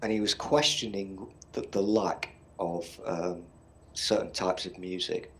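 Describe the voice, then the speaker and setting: A man's voice: low-pitched, steady tone, a little slow, fairly bright tone. A middle-aged man with a Northern English accent, chatting casually on a podcast, blunt and swearing freely as he rambles through stories. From Northern England. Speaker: podcast co-host. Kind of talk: casual chat.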